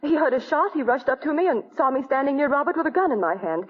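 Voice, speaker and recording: An actress playing a distraught, devoted, melodramatic accused widow in 1940s radio style. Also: female voice, high-pitched, sing-song, fast. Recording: tinny 1940s radio recording.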